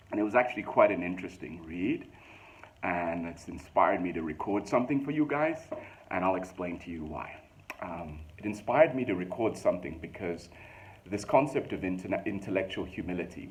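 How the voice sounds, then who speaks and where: A man with a Zambian accent, talking reflectively to his listeners in a casual, thoughtful way, with many ums and slow emphatic stretches. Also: low-pitched.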